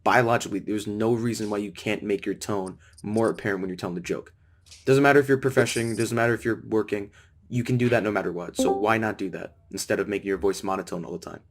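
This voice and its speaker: A man's voice: lowish voice, steady tone, fast, slight background noise. A man grilling someone with pointed, interrupting questions, openly frustrated. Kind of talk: confrontational questioning.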